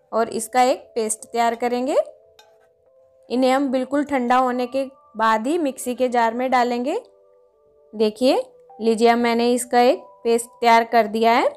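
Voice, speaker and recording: A woman hosting a home cooking video, patiently explaining each step in a calm instructional voice-over. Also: fairly high pitch. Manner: patient, explains each step, often says "dekhiye, lijiye". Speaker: cooking channel host.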